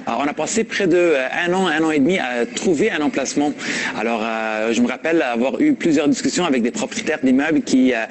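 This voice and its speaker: A man with a Canadian French accent speaking earnestly in an interview clip, pausing with frequent hesitations. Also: medium pitch, crowd noise. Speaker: urban farm co-founder interviewed in a report. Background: music.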